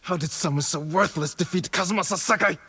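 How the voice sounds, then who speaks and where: An actor playing a grim young samurai lord in a video game, stern, restrained and intense. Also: male voice, sits high, fast talker, bright, forceful tone, breathy edge, dry recording, exaggerated voice. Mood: cold anger. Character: grim young samurai lord haunted by his father's death. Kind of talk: dramatic video game cutscene acting.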